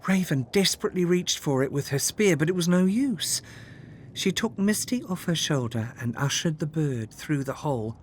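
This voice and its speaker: A storyteller reading a children's fairytale aloud in an expressive, measured way, with dramatic scripted delivery. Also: mid-range voice, slight background noise. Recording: music under the voice. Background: background music.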